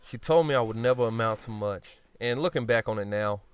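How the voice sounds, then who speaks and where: A man giving casual gameplay commentary, blunt and profane, rambling and joking at himself, often clearing his throat. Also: mid-range voice, brisk. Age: adult. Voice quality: smooth voice. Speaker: gaming commentator.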